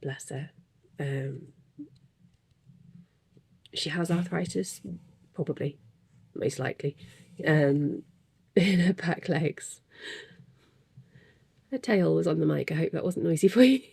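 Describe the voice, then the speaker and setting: A woman's voice: low-pitched, slow, gravelly, pauses often, warm tone, breathy edge, dry recording. A woman hosting a crafting podcast from her desk at home, talking in a rambling, self-deprecating way with chuckles between thoughts while stuffy with a cold. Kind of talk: casual chatty monologue. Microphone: desk microphone.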